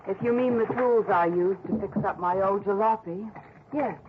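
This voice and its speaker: A woman's voice: mid-range voice, moderate pace, narrow, tinny audio, natural voice. An actress playing a cool, resigned woman cornered by a detective in a 1960s radio drama. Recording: thin, noisy old radio recording.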